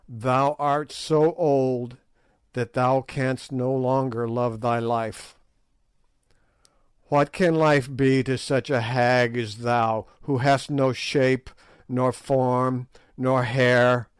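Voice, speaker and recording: A man voicing a stern king who speaks in grave, slow, archaic commands. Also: medium pitch, smooth, dry recording, clean studio sound.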